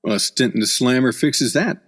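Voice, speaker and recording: A man's voice: mid-range voice, moderate pace, a bit bright, soft background music, close to an everyday voice. Man as hard-boiled reporter in long reverb. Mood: skeptical, curt. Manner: blunt, slangy, skeptical. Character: cynical hard-boiled male newspaper beat reporter. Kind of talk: radio play acting, noir narration.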